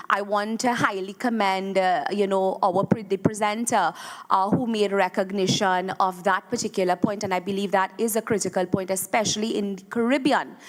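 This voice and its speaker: A woman with a Trinidadian accent, speaking as a government minister at a round-table conference, pausing often with fillers and restarts. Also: mid-range voice. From Trinidad and Tobago. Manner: hesitant, many fillers and restarts. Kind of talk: formal remarks at a round table.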